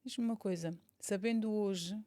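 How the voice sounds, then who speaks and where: A woman's voice: mid-range voice, moderate pace, warm tone. A woman with a European Portuguese accent interviewing informally, asking personal, probing questions. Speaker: podcast interviewer.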